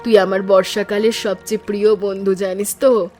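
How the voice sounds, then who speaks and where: A woman's voice: medium pitch, flat delivery, brisk, a little mellow, natural voice. Affectionate village aunt played by a woman, music behind. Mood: fond. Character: affectionate middle-aged village aunt, nostalgic, gently teasing. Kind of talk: animated story dialogue.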